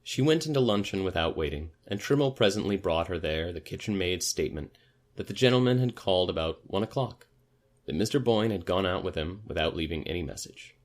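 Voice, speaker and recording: A man's voice: low-pitched, animated, brisk. A man narrating literary fiction as a story reader, in an audiobook style.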